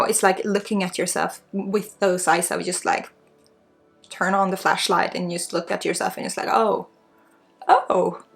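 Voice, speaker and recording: A woman from Sweden with a Swedish accent guides a spiritual healing ASMR session in a soft, breathy, informal and halting way, often dropping toward a whisper. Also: medium pitch. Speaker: spiritual guide, energy healer. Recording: soft music under the voice. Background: soft music.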